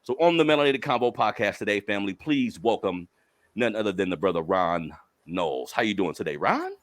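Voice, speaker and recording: A man's voice: on the low side, expressive, a little fast. Hyped young man hosting a podcast. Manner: hyped, calls listeners family, slangy. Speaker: podcast host. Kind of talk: energetic podcast intro and interview.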